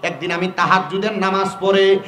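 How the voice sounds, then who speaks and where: A man's voice: high-pitched, fairly even intonation, a little fast, sharp tone. A man delivering an Islamic sermon, exhorting his listeners directly and prompting them to respond. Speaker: Islamic preacher. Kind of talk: waz sermon to a gathering.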